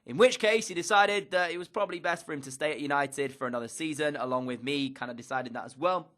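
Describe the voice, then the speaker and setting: A man's voice: a little high, fast talker, a bit bright. A young man from England with a slightly stuffy nose from a cold, recapping football matches in an upbeat commentator style with frequent fillers. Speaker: gaming YouTuber.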